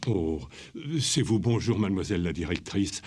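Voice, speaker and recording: A man playing a servile, gruff school cook in a cartoon, with a husky voice and deferential manner. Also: sits low, normal speed, mellow. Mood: startled, deferential. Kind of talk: cartoon dub acting.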